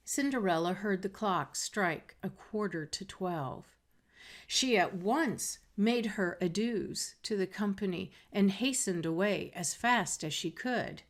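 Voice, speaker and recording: A woman reading a fairy tale aloud as a storyteller, in a measured, expressive way. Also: medium pitch. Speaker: storybook reader. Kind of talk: scripted read-aloud narration of a fairy tale.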